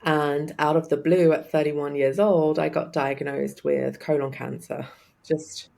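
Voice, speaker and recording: A woman's voice: sits low, level tone, a little slow, creaky, darkish tone. A woman in her thirties with an English accent, a guest on an interview podcast telling her story in an upbeat, chatty way and laughing often. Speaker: guest, life coach. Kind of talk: casual interview chat.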